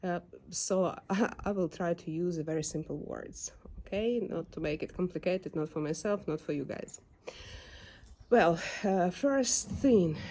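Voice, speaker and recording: A young woman with a Ukrainian accent talks slowly and unhurriedly in a casual home vlog. Her voice is soft and mellow, and she pauses often with fillers while searching for her English words. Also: on the low side, gravelly. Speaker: dog owner vlogger. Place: home backyard.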